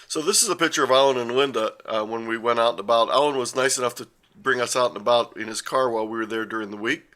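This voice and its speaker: An older man tells a casual story over slides, wandering off track and correcting himself as he goes. His voice is bright and forward, at an ordinary middle pitch, heard over a video call. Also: fast. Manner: rambling, with frequent uh and self-corrections. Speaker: guest presenter.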